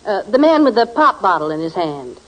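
An actress playing a worried, practical housewife in 1940s radio comedy. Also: female voice, medium pitch, big pitch swings, brisk, low-quality recording. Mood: puzzled. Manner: fretful, questioning. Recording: hissy 1940s radio recording.

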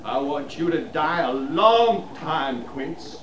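A man's voice: fairly high pitch, lively intonation, slow, a bit bright. An actor with an American accent playing a vengeful, cowardly frontier gunman, bitter and taunting, with a folksy drawl in 1950s Western radio style. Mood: menacing. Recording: noisy, echoey 1950s radio recording.

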